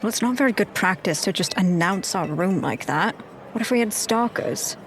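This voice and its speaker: A woman plays a practical adventurer in a fantasy tabletop game. She is dry and teasing, speaking with lively inflection over faint background noise. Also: medium pitch, moderate pace, lightly acted.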